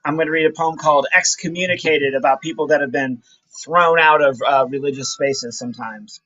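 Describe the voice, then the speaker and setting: A man's voice: a little high, a little fast, pushed and bright, dry recording. A man speaking casually and effusively as he gives a walking video tour and promotes his poetry, recorded on a handheld camera. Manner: enthusiastic, effusive. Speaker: poet promoting his book. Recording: dull lo-fi handheld camera audio.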